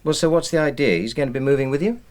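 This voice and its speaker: A man's voice: medium pitch, racing, a little mellow, lightly acted. An actor playing a reserved British publishing man, polite and a little hesitant, in a naturalistic radio drama. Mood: relaxed family chat.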